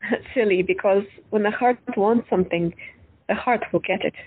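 A woman playing a frank, unconventional woman doctor who talks bluntly and candidly with a slightly husky voice. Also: medium pitch, moderate pace, close to an everyday voice. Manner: blunt, candid, lightly teasing. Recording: tinny phone-like audio.

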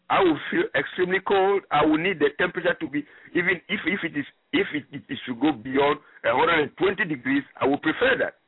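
A man's voice: on the high side, slightly rough, dry recording. Middle-aged man from Gabon, native French speaker; repetitive, emphatic storyteller, dry close sound. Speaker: guest. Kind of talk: personal story on call-in radio. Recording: tinny phone line.

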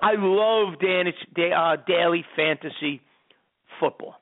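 A man's voice: high-pitched, slow. A man in his thirties hosting a fantasy sports radio show, rambling and repeating words as he talks. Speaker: host. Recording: tinny phone-like sound.

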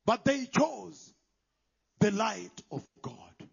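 A man preaching a sermon, emphatic, moving between loud declarations and hushed whispers. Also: high voice, lively intonation, extremely slow, creaky, breathy edge. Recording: dull lo-fi sound.

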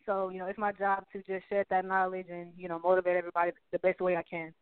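A woman's voice: lowish voice, completely flat delivery, quick pace, clean tone, dry recording. A woman in her twenties, a guest on a talk show, chatting casually and upbeat, with lots of fillers and repeated words. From Texas. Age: 20s. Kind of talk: casual interview chat. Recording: tinny phone line.

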